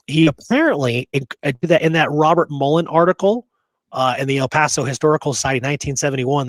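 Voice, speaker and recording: A man's voice: on the high side, no echo. A man hosting a casual podcast, recounting history in a colloquial, emphatic way and often checking that the listener follows. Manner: colloquial, emphatic, punctuates points with check-in questions. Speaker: podcast host and history researcher.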